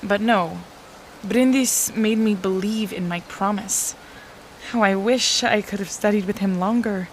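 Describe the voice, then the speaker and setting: A woman's voice: mid-range voice, unhurried, slight background noise, close to an everyday voice, poor audio. Woman playing a wistful, passionate teenage girl. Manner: wistful, dramatic. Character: disappointed, passionate seventeen-year-old girl musician of 1907. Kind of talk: scripted audio drama dialogue.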